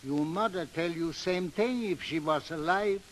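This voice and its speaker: In an echoing 1930s radio play, an actor voices an old Swedish father. His voice is fairly high for a man. He grumbles stubbornly in thick broken English. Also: measured pace, a little hiss or hum, natural voice. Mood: worried. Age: older. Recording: old reverberant radio broadcast.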